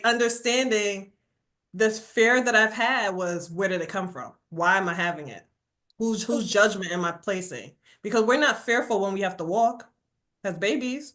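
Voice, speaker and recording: A woman from Jamaica with a New York accent, a guest on a podcast, talking casually and animatedly, acting out quotes and tagging lines with "right?". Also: mid-range voice. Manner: animated storyteller, says "right?" constantly, acts out quotes. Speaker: podcast guest, burnout coach. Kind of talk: casual conversational interview.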